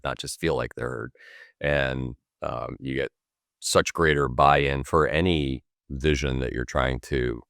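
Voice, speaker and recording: A man's voice: a bass voice, no echo, studio quality. A man hosting a podcast, asking long, winding questions and affirming his guest. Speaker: podcast host. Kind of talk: conversational interview questions.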